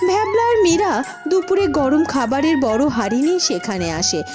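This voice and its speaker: A woman's voice: high voice, animated, normal speed, over music. A woman giving scripted storybook narration of scenes in a fairy-tale cartoon.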